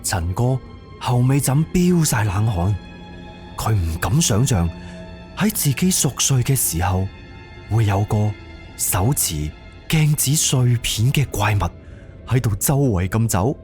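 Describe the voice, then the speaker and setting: A man's voice: medium pitch, sing-song, slow pace, a little mellow, soft background music. A man with a Cantonese accent narrating a scripted suspense horror audio drama.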